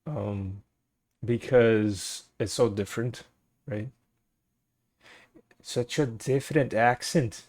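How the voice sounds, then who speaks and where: A man's voice: fairly low pitch, a little mellow. A young man, a native Portuguese speaker with a Portuguese accent, chatting casually with stream viewers, rambling, searching for words and laughing often.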